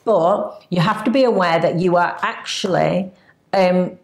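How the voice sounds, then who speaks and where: A woman's voice: lowish voice, unhurried, gravelly. A woman co-hosting a casual podcast, talking earnestly and giving advice. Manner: earnest, advising. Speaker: co-host.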